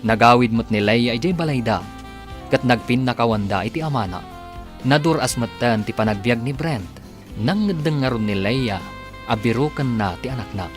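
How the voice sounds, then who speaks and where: Filipino guy announcing a radio soap, scripted narration, medium pitch, music playing behind him. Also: animated, moderate pace. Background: instrumental music, guitar.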